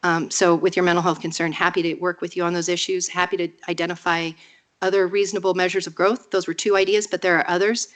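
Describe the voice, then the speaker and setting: A woman with a cold and a nasal voice, speaking in a measured, persuasive way during public comment at a podium microphone in a council chamber. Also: on the low side, flat delivery.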